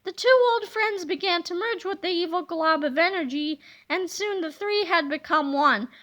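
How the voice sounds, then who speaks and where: A woman narrates a children's chapter book aloud, lively and expressive. Her voice sits high and sounds bright. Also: normal speed. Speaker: reader.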